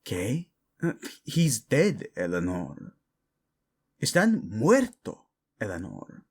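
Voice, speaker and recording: A man's voice: fairly low pitch, wildly swooping pitch, slow, a little mellow, full character voice. A man playing a proud, brooding young prince in an audio drama, curt and sardonic, with a Spanish accent. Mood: exasperated. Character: brooding, proud young prince, defensive about his dead brother.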